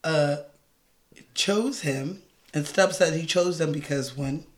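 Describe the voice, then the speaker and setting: Deep-voiced woman in casual chat. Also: slow, a little hiss or hum. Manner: swears freely, rambling and animated. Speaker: podcast co-host.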